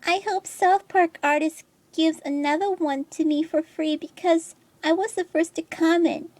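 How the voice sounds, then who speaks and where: A woman's voice: extremely high pitch, fairly even intonation, unhurried, darkish tone, pitched above the performer's own voice. A woman voicing a whiny, aggrieved young female artist, reading out online posts in a melodramatic, complaining way. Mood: petulant.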